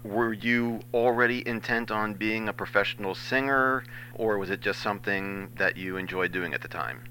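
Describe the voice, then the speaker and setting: Bright-toned male interviewer, faint hum. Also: fairly low pitch, rough recording. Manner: brisk, polite questions. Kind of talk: radio-style interview.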